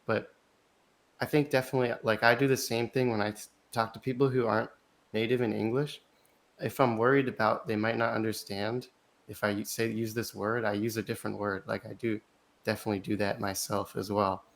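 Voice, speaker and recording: A man's voice: lowish voice, steady tone, gravelly. A man as an interview guest, answering thoughtfully in casual conversation, often restarting his sentences and filling gaps with "like". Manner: thoughtful, halting, with frequent restarts and "like" fillers. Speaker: interview guest, language learner.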